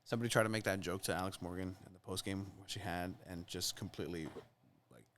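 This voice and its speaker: A man with a Mexican accent, co-hosting a casual sports podcast, opinionated and animated. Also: deep voice, fast, a little hiss or hum. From San Diego area. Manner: animated, opinionated, stumbles over names. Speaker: podcast co-host.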